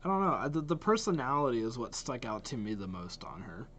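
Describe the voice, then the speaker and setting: A man's voice: mid-range voice, sing-song, quick pace, a bit bright, a little hiss or hum. A young man giving casual running commentary as a reaction host, musing aloud in an informal, hesitant way. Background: game trailer music.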